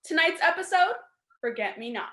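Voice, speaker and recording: A woman's voice: very high voice, expressive, normal speed, pushed and bright, heavily acted. A woman playing a formal 1940s-style radio announcer, introducing programs and sponsors in a roomy recording. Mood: upbeat, formal.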